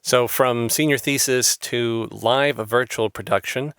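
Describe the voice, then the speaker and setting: A man hosting an interview podcast, talking in a halting way with many restarts and fillers. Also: medium pitch, a bit bright, clear, resonant tone, dry recording. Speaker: podcast host. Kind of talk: interview chat.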